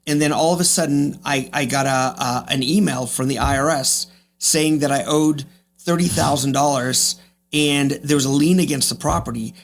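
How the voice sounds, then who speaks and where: A man's voice: on the high side, steady tone, moderate pace. A man in his fifties speaking as an interview guest, reflective and emphatic, repeating phrases for effect. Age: 50s. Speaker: podcast guest, real estate agent.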